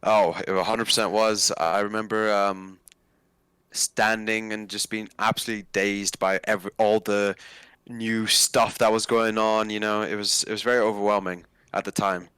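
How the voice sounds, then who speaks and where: A man's voice: on the low side, flat delivery, normal speed, a bit bright, smooth, no room sound. A man in his twenties from Nigeria, speaking casually as a guest in an interview. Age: 20s.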